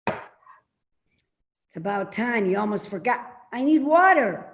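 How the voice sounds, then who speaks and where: A woman acting an elderly mother in a readers theater play, stubborn, grumbling and sharp-tongued, with a querulous delivery. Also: a little high, big pitch swings, takes it slowly, noisy background, heavily acted. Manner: grumbling, guilt-tripping, pointed. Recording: roomy, echoey sound.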